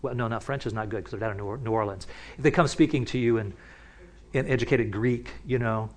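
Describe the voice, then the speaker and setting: Man, pastor, conversational bible study, halting restarts, animated rises. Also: medium pitch. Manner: casual, with many restarts and asides.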